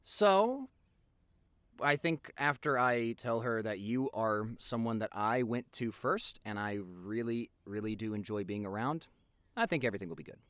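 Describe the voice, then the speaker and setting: Animated, teasing young boyfriend, acted by a man. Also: medium pitch, normal speed, clear, resonant tone, dry recording, studio quality, natural voice. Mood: playful, musing. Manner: teasing, animated, chatty, expressive. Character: playful, rambling, affectionate popular young boyfriend. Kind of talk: boyfriend roleplay audio, intimate rambling monologue.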